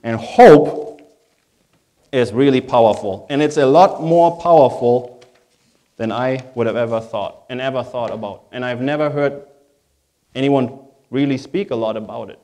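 A man's voice: mid-range voice, unhurried, mellow. A man with a German accent, a native German speaker, preaching a sermon as a pastor in a reflective, conversational way, repeating phrases for emphasis.

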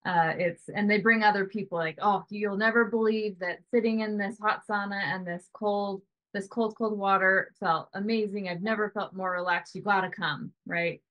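A woman's voice: mid-range voice, fairly even intonation, moderate pace, few pauses, highs cut off. A woman in her thirties, a sauna business owner, chatting casually on a video call from home, often tagging "right?" onto her points. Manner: chatty, hedging with "you know" and "right?".

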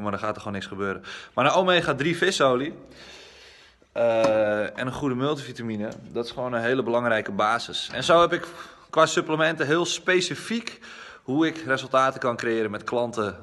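A man's voice: medium pitch, animated, normal speed, fairly bright tone. A young adult man with a Netherlandic Dutch accent, a personal trainer giving an informal, conversational vlog tour on a handheld phone. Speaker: personal trainer and gym owner. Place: small gym rooms indoors. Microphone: phone at arm's length. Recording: reverberant indoor phone recording.

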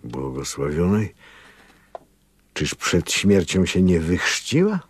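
Slow, deep-voiced gruff old priest. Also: male voice, big pitch swings, no echo, exaggerated voice. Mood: reminiscing. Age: older. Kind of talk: radio drama acting.

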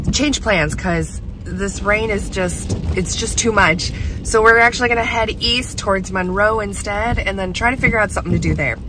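A woman in her thirties chatting casually to a handheld camera in her car, laughing often. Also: mid-range voice. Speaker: vlogger. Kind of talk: casual vlog chat. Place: inside a car in heavy rain. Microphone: camera at arm's length. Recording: rain noise on car. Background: rain drumming on the car.

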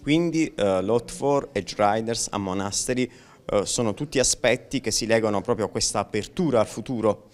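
Male interviewer; low, clear voice, hesitant phrasing. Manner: halting questions. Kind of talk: interview questions.

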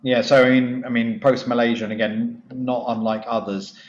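A man's voice: medium pitch, little pitch movement, moderate pace. A man in his fifties giving emphatic, conversational interview answers as a company chief executive, often adding asides. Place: office, indoors. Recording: echoey, dull video-call audio.

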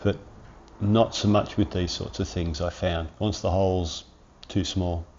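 Low-voiced man with a cold. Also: unhurried, muffled recording, slight background noise. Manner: relaxed, chatty, rambling asides. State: losing his voice, sniffly cold. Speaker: workshop channel host. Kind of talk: hands-on tutorial. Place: home garage workshop.

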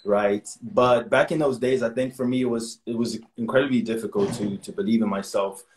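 Young man from zimbabwe, low voice, earnest and chatty, echoey room, video call. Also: steady tone, fairly quick, a little hiss or hum. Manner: earnest, chatty, laughs often. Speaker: actor guest. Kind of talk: casual interview over video call. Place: small room indoors at home.

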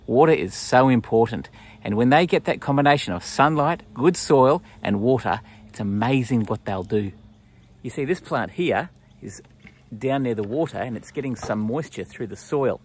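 A man in his forties with an Australian accent and a husky voice, giving a simple explanatory nature talk for children outdoors. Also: mid-range voice, animated, normal speed, a little hiss or hum. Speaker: pastor presenting a children's story. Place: outdoors in bushland.

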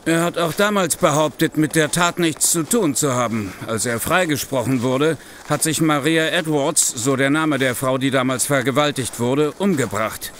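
An actor playing a suspicious, determined police investigator, measured and insistent, in a horror radio play. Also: male voice, a little high, a bit bright, a little hiss or hum, lightly acted. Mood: grim, determined. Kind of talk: German horror audio drama acting.

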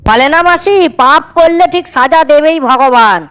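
A woman's voice: sits high, normal speed, a bit bright. A woman voicing a gossipy, resentful young village housemaid in a cartoon. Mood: gossipy, righteous. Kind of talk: cartoon dialogue. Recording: music over the voice.

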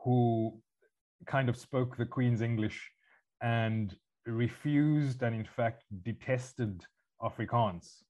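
A man's voice: medium pitch, fairly even intonation, slow pace, warm tone, highs cut off. A man from Africa, hosting a podcast interview and asking long, winding questions. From South Africa. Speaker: podcast host.

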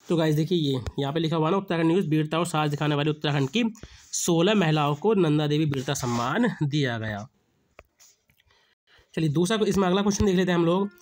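A man's voice: sits high, darkish tone. A young man with a sore throat explains quiz answers over slides, asking a question and then repeating the answer. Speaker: exam prep teacher. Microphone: screen-recording voice-over.

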